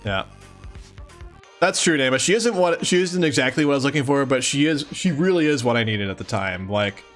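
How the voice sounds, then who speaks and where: A man's voice: mid-range voice, animated, fairly quick, fairly bright tone, faint music behind. A man hosting a livestream, chatting casually and affectionately with his viewers. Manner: affectionate, cooing to a pet. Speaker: livestream host.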